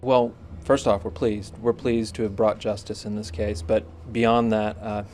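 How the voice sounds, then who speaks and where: A man gives a prosecutor's press statement in a lowish, mellow voice. He is understated and halting, with faint music behind him. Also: level tone.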